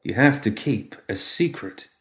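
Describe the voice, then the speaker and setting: A man's voice: mid-range voice, animated, unhurried, a bit bright, roomy sound. A man voicing a nervous newspaper courier in a story, speaking in a coaxing, politely careful way. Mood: secretive, coaxing.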